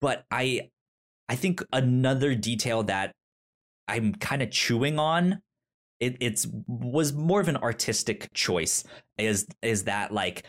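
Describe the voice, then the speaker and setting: A man co-hosting a casual film discussion, thinking aloud haltingly and often repeating words as he works toward his point. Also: medium pitch, lively intonation, a bit bright. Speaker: podcast co-host.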